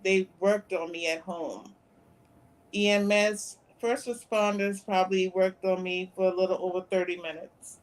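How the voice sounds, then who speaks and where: A woman in her fifties, a guest on a talk show, recounting events in a plain, soft-spoken way. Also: mid-range voice, steady tone, unhurried, poor audio. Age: 50s. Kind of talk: interview conversation.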